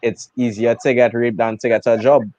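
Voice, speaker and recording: A man's voice: medium pitch, little pitch movement, moderate pace, a little mellow, lo-fi sound. A young man interviewing a guest in a casual chat, friendly and enthusiastic.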